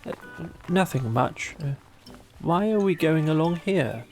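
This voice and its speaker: A reader voicing a slow, gentle, bumbling male teddy bear who speaks in a hesitant, halting way. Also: mid-range voice, lively intonation, faint music behind.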